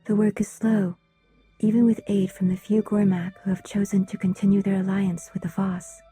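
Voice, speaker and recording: An actress playing a solemn, reserved alien woman in a video game, speaking in a measured, formal way. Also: medium pitch, little pitch movement, mellow, husky, faint music behind. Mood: grave, formal. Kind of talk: video game cutscene dialogue.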